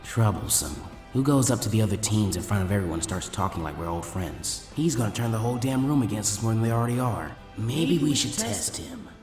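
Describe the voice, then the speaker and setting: Lazy, shrewd young ninja, voiced by a male narrator, lowered pitch, grumbling and wary, storybook character. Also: lively intonation, a little fast, few pauses, a little mellow, roomy sound, faint music behind, natural voice. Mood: annoyed, wary.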